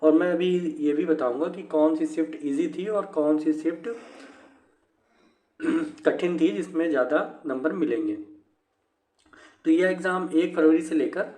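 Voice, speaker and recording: Male explainer, slightly high voice, halting informal delivery, very roomy sound. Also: dark tone. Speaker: exam news presenter. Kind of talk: informal explanatory commentary on exam cut-off scores. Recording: echoey room.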